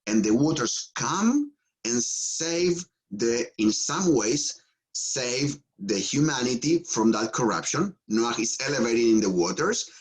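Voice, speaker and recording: A man's voice: mid-range voice, low-quality recording. A man from Argentina with an Argentine Spanish accent, a rabbi giving a Torah teaching with fillers, restarts and light jokes. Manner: joking, halting, many fillers and restarts.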